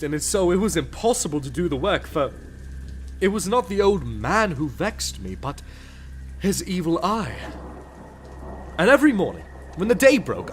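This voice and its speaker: A man reads a gothic horror tale in a feverish, theatrical way. His raised voice leaps wildly up and down and drops at times to a hush. Clear music plays under him. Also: fairly high pitch. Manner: theatrical, swinging from loud declaration to hushed whisper; intense, feverish first-person delivery. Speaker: reader. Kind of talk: dramatic reading of a gothic horror story.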